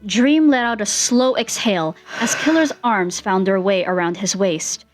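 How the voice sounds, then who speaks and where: A woman's voice: mid-range voice, unhurried, soft background music. A woman reading fan fiction aloud as the narrator, in a scripted storytelling style.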